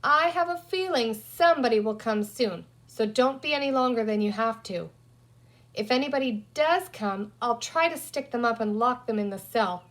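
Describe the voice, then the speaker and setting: A woman's voice: fairly high pitch, animated, normal speed, lightly acted. A woman voicing a bossy, resourceful young girl in a children's story, talking emphatically as she reasons aloud. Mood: decisive. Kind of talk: children's storybook character reading.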